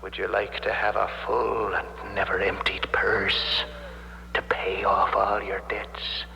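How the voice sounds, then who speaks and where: An actor playing a sly, bitter male ghost in a 1970s radio drama, with a breathy, insinuating delivery. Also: sits low, level tone, sharp tone, full character voice. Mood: coaxing. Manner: slow, insinuating, deliberate. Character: ghost of a slain Irish man, sly, bitter, tempting. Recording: long hollow reverb, hissy old radio broadcast.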